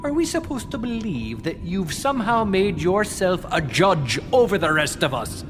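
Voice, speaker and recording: A man's voice: high voice, sing-song, moderate pace, music in the background. An actor playing an outraged male captive who heatedly challenges his captor in an audio drama. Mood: defiant outrage. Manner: heated, confrontational.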